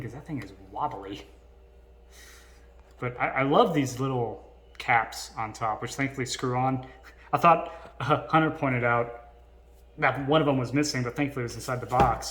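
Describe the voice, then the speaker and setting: A man hosts an unscripted electronics demonstration in an informal, chatty way. His voice sits at an ordinary middle pitch. The recording carries faint noise and small clicks. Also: fairly bright tone, echoey room. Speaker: video host reviewing vintage electronics. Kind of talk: casual unscripted demonstration to viewers.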